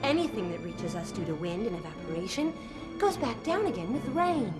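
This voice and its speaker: A woman voicing a calm, authoritative cloud scientist creature in a cartoon. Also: medium pitch, fairly quick, over loud music, lightly acted. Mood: reassuring. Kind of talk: cartoon voice acting.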